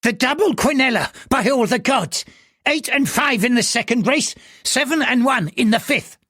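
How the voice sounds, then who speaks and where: A man's voice: very high-pitched, animated, normal speed, bright, forceful tone, slightly rough, dry recording, voice pushed up, exaggerated voice. Frail old gambler, breathless and pleading, voiced by a male reader, dry close sound. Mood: urgent. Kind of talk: audiobook character voice.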